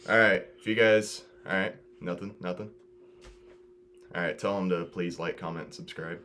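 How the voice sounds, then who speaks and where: A man's voice: low-pitched, steady tone, moderate pace, pushed and bright. An eighteen-year-old man hosting a casual video chat, telling stories with friends in a slangy, informal way. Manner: slangy, informal, says dude and bro often. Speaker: host of a video podcast. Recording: noisy home-made recording.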